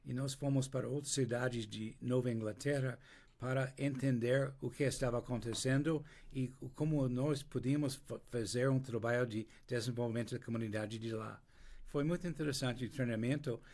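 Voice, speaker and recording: Older american guy telling stories in his second language, american accent, lots of stops and restarts, middle pitch. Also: steady tone, darkish tone. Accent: American English. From United States. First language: English. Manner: halting, many restarts, searches for words in a second language. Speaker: interview guest. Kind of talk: unscripted interview storytelling.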